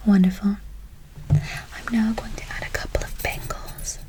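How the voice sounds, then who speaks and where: A woman playing a doting, whimsical forest witch in an ASMR roleplay, cooing endearments and gently reassuring her listener. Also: mid-range voice, flat delivery, moderate pace, natural voice. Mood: whimsical, gentle.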